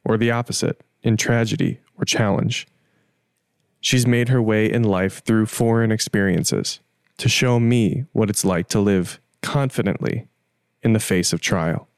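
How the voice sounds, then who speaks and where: A man narrating a church testimony video and interviewing a member of the church community in an earnest, measured way. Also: fairly low pitch, smooth, dry recording, clean studio sound.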